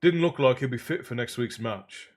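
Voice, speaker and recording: A man's voice: medium pitch, moderate pace, close to an everyday voice. A man voicing a cocky, hulking teenage athlete who is pushy and pleased with himself, in a storybook reading. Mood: smug, pushy. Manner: self-satisfied, presumptuous.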